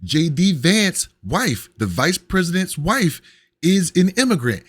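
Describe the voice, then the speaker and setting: A man's voice: a little high, very animated, a little slow, a bit bright, breathy edge. A man giving heated, blunt political commentary, profane at times and often repeating himself.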